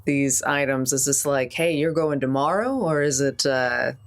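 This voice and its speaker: A woman with a low voice plays a tabletop roleplaying game. She describes her character's choices casually and informally, with a wry edge to her delivery. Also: measured pace.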